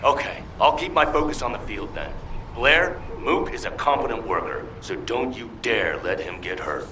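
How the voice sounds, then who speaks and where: A stern, abrupt military captain from a video game. He speaks with proud authority and sharply varied intonation. The voice sounds echoey and set back from the mic, over clear noise. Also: medium pitch, animated, moderate pace, pushed and bright. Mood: gruff, commanding. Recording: echoing, distant, noisy with clicks.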